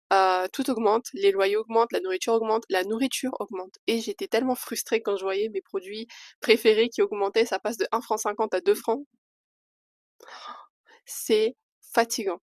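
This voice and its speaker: A young woman from Switzerland talks candidly to her camera in a casual vlog. Her voice sits at an ordinary middle pitch, and she often pauses on small fillers as she gathers her thoughts. The sound is close and dry, with no room around it. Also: no room sound. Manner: chatty and candid, with hesitant fillers. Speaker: vlogger.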